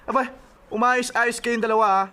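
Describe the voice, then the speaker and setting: A man's voice: extremely high pitch, steady tone, moderate pace, background noise. A man playing a joking young office buddy in a radio drama, bantering and egging friends on. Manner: bantering, exclamatory. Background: music.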